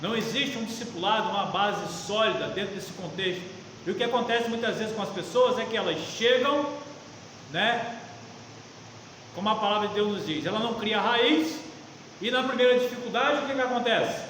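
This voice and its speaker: A man's voice: high voice, normal speed, fairly bright tone. A Brazilian man preaching conversationally as a pastor leading a Bible study, emphatic, repeating phrases and asking rhetorical questions. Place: reverberant church meeting room. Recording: very echoey hall, faint noise.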